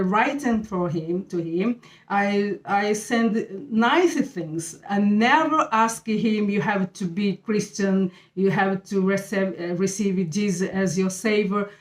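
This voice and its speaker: Brazilian lady talking about faith, slow, lots of ums and uhs, pretty roomy echo. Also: mid-range voice. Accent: Brazilian Portuguese. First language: Portuguese. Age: middle-aged. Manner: halting, searching for words with many fillers. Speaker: channel host. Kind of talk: casual faith talk to camera. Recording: echoey room.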